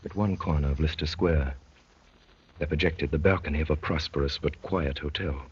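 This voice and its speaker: An actor playing a wry detective-poet, narrating his adventure in a measured, literary 1930s radio drama style. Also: deep voice, a little mellow, breathy edge, heavily acted. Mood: hushed, ominous. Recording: muffled vintage 1930s radio recording.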